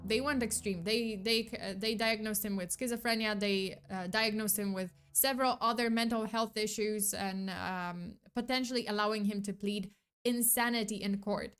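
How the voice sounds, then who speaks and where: A woman's voice: mid-range voice, fairly even intonation, moderate pace, a bit bright, smooth. A young woman hosting a true crime stream, talking casually with lots of fillers and restarts and swearing now and then, her voice worn as she loses it. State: losing her voice. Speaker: true crime vtuber host. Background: background music.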